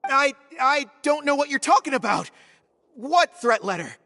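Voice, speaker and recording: A young student caught out, stammering and defensive. The voice is high and lively, bright and tense. A long reverb trails each line. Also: moderate pace, exaggerated voice. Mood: startled. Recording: game voice with long hall reverb.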